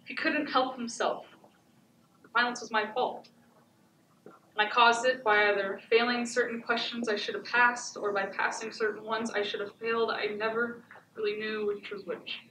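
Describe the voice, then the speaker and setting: A teenage woman playing a haunted, self-blaming battered wife in a measured, emphatic stage monologue. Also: on the high side, level tone, pushed and bright. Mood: resigned, self-blaming. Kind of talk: high school stage monologue. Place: indoor theatre stage. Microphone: far camera. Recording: echoey noisy theatre, distant microphone.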